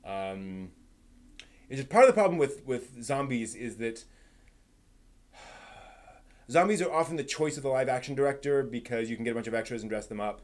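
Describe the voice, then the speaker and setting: Male livestream host, mid-pitched voice, casual chat, halting delivery, emphatic opinions. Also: lively intonation, a little mellow. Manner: halting, thinking aloud, repeats and rephrases. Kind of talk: casual live-stream chat, answering viewers' comments.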